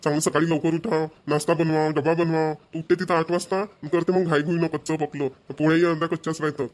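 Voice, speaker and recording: Male actor, nagging young husband, cartoon, Vidarbha Marathi accent, brisk delivery, slightly high-pitched. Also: level tone, lightly acted. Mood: impatient. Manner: nagging, complaining. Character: harried young husband, exasperated, thrifty and scolding. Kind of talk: amateur cartoon voice acting.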